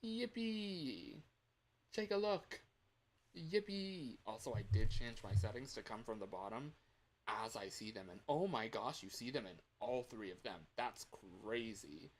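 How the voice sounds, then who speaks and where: A man chatting casually to livestream viewers in an excitable, scattered, playful way, with frequent exclamations. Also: mid-range voice, a little slow, a little hiss or hum. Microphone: external microphone.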